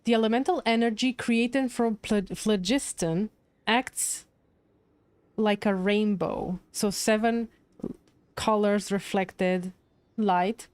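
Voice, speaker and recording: A woman's voice: medium pitch, a little mellow. A woman livestreamer giving casual commentary on a game's story, thinking aloud as she reacts. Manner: thinks aloud, repeating and musing. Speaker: game livestreamer. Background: game music.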